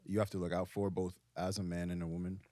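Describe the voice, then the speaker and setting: Young guy from brooklyn, low voice, candid, dry close mic. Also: flat delivery, fast talker, dark tone, no echo. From Brooklyn, United States. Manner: candid, earnest, talks through ideas with restarts. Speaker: podcast guest. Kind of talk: casual podcast conversation.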